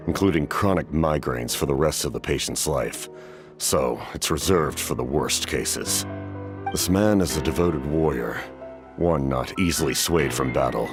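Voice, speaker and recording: An actor playing a solemn, honourable, centuries-old warrior captain in a video game, speaking in a formal, grave, measured way. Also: male voice, rumbling low. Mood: grave, commanding. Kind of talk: scripted video game voice acting.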